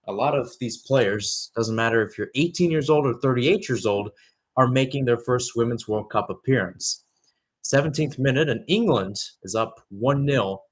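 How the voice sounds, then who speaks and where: A man's voice: mid-range voice, slightly rough. A young man doing live radio-style soccer play-by-play, with conversational analysis that wanders and corrects itself. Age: young adult.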